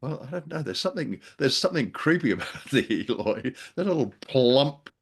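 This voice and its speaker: A man's voice: medium pitch, very animated, normal speed, creaky, slightly rough. A man co-hosting a podcast, chatting casually about old radio drama in a wry, talkative manner. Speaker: podcast co-host.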